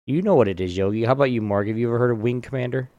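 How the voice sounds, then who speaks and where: Man, game host, low-to-middling pitch, dry recording, informal slangy chat, halting phrasing. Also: darkish tone, no echo, muffled recording. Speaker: host of a trivia game on a live show. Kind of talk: casual chat, reading trivia aloud.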